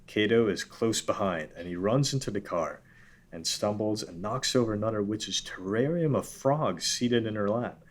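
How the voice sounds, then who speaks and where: A man's voice: on the low side, normal speed. A man narrating a script, reading out scene headings and stage directions. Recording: background room noise.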